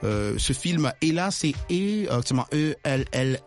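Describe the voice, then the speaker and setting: A man's voice: fairly high pitch, sing-song, measured pace, soft background music. A man hosting an interview, talking with many hesitations and restarts. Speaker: radio show host.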